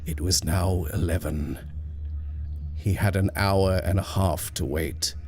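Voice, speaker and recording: A man reading a ghost story aloud in a measured, hushed storytelling manner. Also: sits low, steady tone. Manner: slow, measured, hushed at tense moments. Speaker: narrator. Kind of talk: scripted horror story reading.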